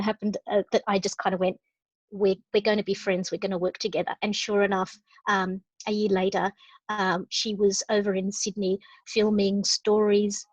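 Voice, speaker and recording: A woman's voice: mid-range voice, no echo, poor audio. A woman who leads a parents' organization, speaking enthusiastically and conversationally with many fillers. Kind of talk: webinar talk. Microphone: video call.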